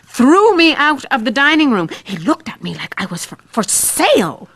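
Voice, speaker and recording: A woman's voice: sits high, big pitch swings, normal speed, a bit bright, heavily acted. A woman playing a spirited, wry lady examiner of the 1890s in an old-time radio mystery, with a theatrical delivery. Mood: wounded, indignant. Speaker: actor.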